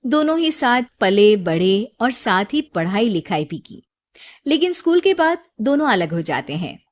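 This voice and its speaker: A woman's voice: medium pitch, very animated, measured pace. A woman storyteller reading a scripted moral tale as narration.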